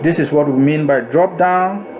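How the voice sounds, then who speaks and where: A man narrating a step-by-step software tutorial in a deliberate, instructional way, repeating and rephrasing himself as he goes. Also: a little high, noisy background.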